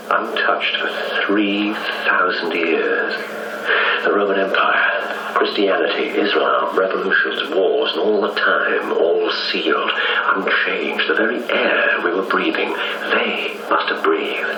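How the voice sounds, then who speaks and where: An actor playing an intense, awestruck archaeologist in a radio drama, speaking in halting, clipped, reverent phrases. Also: male voice, deep voice. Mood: awed. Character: intense, awestruck male archaeologist at a tomb dig. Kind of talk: 1990s radio drama acting. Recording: noisy roomy radio broadcast copy, distant mic.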